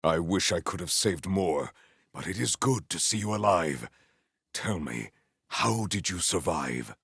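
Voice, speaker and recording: An actor playing a solemn, honor-bound warrior-monk in a video game, speaking in a formal, stately, theatrical way. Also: male voice, sits low, animated, unhurried, fairly bright tone, husky, no room sound, clean studio sound, full character voice. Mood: somber. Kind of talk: scripted video game dialogue.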